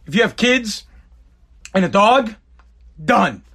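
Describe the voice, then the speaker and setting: A man rants on his own live podcast, blunt and profane, repeating himself as he goes. His voice sits high for a man. It has a bright, forceful edge. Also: lively intonation, slow pace, gravelly. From Boston area, New England. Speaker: podcast host. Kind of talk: live-streamed podcast rant.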